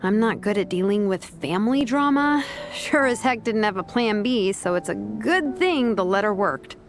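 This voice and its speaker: A woman's voice: medium pitch, lively intonation, moderate pace, lightly acted. A woman voicing a blunt, laid-back young woman warrior in an anime game, with a casual manner. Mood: relieved, wry. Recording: music behind.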